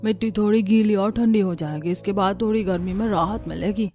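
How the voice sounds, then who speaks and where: Village housewife, voiced by a woman, weary, complaining, mid-pitched, cartoon dialogue, music underneath. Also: a little fast, darkish tone, natural voice. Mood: grumbling.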